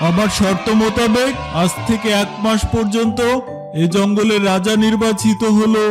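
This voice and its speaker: Man voicing a high-pitched, stern cartoon lion king. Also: little pitch movement, moderate pace, over music, natural voice. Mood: formal, firm. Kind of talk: children's cartoon voice acting.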